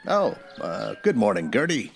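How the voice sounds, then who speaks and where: An actor playing a weary, decent Old West marshal with a plainspoken, hesitant way in a radio western parody. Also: male voice, lowish voice, huge pitch swings, measured pace, creaky, a little hiss or hum, exaggerated voice. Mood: awkward, reluctant.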